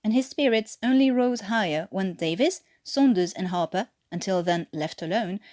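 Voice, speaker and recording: Woman narrating with very lively intonation. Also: medium pitch, moderate pace. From France. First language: French. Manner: expressive storytelling with character voices. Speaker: fan fiction author reading their own story. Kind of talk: scripted story narration.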